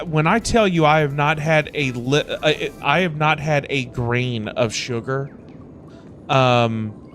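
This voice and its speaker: Guy streamer just chatting, kinda high voice, rambles and repeats himself, music in the back. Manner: rambling, repeats phrases emphatically. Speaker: livestream host. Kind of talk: casual livestream chat. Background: music playing in the background.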